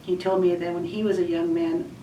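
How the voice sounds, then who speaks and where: A woman in her forties from Washington State speaks in a fairly low, flat voice, stepping through a list with frequent ums. She sounds distant from the mic, in a roomy, echoing space with faint ambience behind her. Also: brisk, dull audio, noisy background. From Washington State, USA. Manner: hesitant, many ums, list-like repetition. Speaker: student speaker. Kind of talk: personal "I am from" recitation.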